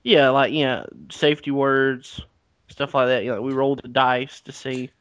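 A man co-hosting a podcast, trading dry deadpan jokes in casual banter. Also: medium pitch, moderate pace, smooth, no echo, dull audio. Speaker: podcast co-host. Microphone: podcast mic.

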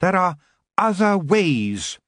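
A man voicing a regal female elf queen in a storybook reading, slow and commanding. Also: high-pitched, very animated, fairly bright tone, no echo, studio quality, pitched above the performer's own voice, exaggerated voice. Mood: imploring.

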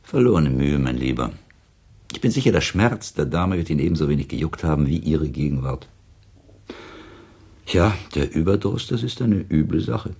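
Very deep-voiced, sceptical gentleman. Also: big pitch swings, moderate pace, husky, heavily acted. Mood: cynical. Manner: wry, probing, sardonic questioning. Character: sceptical, worldly male listener needling the storyteller. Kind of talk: German radio play dialogue.

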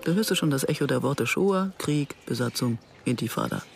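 A woman's voice: a bass voice, animated, a little fast, creaky, background noise, heavily acted. An actress playing a guarded, reflective woman in her early fifties who narrates and converses soberly in a German radio drama. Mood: guarded, brooding. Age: middle-aged.

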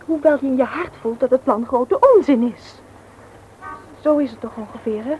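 Actress doing a headstrong young woman in an old radio play, high voice, reproachful, noisy recording. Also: moderate pace, phone-quality sound, natural voice. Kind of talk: Dutch radio play acting.

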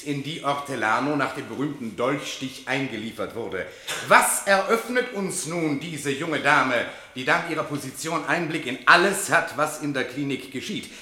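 An actor playing an eloquent, formal advocate with a sardonic edge, declaiming in court in a German radio drama. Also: male voice, fairly high pitch, bright, forceful tone. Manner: declamatory, rhetorical. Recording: echoey courtroom-like room.

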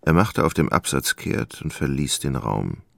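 A man narrating a literary radio play in a measured storytelling manner. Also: very deep voice, lively intonation, creaky, a bit bright.

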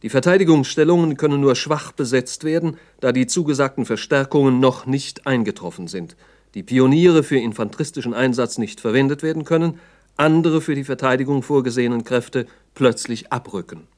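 A man's voice: mid-range voice, brisk, a little mellow. A male narrator in a 1960s radio play, with a mid-range voice. He reads scripted documentary-style narration in a sober, formal manner, as if delivering an official report.

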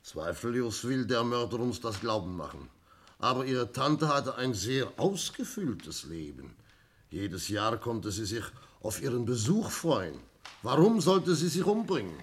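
An actor playing a composed, probing police inspector in a 1960s German radio play, speaking in a measured, formal way. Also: male voice, medium pitch, big pitch swings. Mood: firm.

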